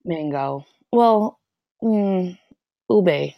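Low voiced woman from the philippines, chatty guest, laughs a lot, dry sound. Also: expressive, extremely slow, gravelly, no room sound, highs cut off. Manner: laughs often, leans heavily on "like". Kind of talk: casual interview chat.

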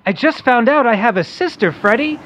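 An adult playing an excitable, wholesome boy who hosts a radio quiz, read in the manner of an old comic-book radio play. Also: medium pitch, a little fast, lo-fi sound. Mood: eager.

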